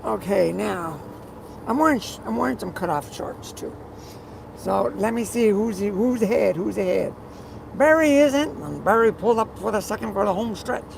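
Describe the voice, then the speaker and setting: A live streamer with a rough, gravelly voice, chatting casually and rambling while reading viewers' comments aloud and repeating words. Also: mid-range voice, expressive, normal speed, slight background noise, poor audio.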